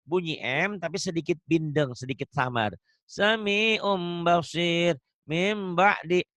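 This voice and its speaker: A man's voice: sits high, slow, fairly bright tone, dry recording. A man teaching Quran recitation online, patiently drilling pronunciation and laughing often. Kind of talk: online tajwid lesson.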